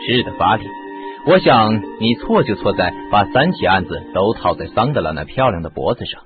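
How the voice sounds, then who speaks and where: An actor playing a clever, self-assured sleuth in a radio mystery, explaining with confidence. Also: male voice, lowish voice, sing-song, brisk. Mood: reasoning firmly. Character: clever, self-assured male sleuth making his case. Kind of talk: Chinese radio mystery drama acting. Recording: muffled lo-fi radio drama, music behind.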